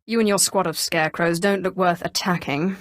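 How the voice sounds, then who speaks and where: An actress playing a cold, commanding Sith Inquisitor in a video game, with an imperious, clipped and dryly sardonic delivery. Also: female voice, on the low side, fairly even intonation, brisk, dry recording, studio quality, close to an everyday voice. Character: female Sith Inquisitor, cold, commanding, menacing young sorceress. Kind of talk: scripted video game dialogue.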